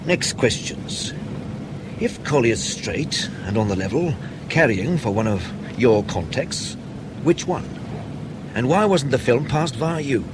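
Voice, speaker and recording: Outdoor ambience and birdsong sit clearly behind a male British intelligence officer in a radio drama. He has a medium-pitched voice and questions in a measured, insistent way. His delivery is naturalistic, wry and drily understated. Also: lively intonation, noisy background. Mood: probing, insistent.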